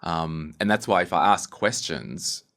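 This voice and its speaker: Deep-voiced man hosting a casual interview. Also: a little slow, fairly bright tone. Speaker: podcast host, interviewer.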